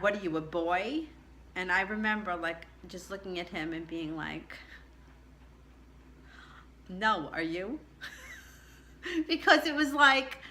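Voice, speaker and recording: A middle-aged woman with a low contralto voice telling a candid personal story in a chatty way, laughing often. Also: bright, forceful tone. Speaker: vlogger.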